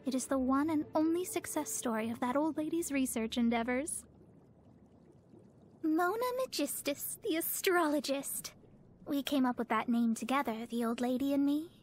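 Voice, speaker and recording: A woman's voice: high-pitched, normal speed, a little hiss or hum, close to an everyday voice. An actress voicing a proud, haughty young woman astrologer in a video game, speaking in a formal, pompous, theatrical way. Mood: haughty, lecturing. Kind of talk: scripted video game character voice lines.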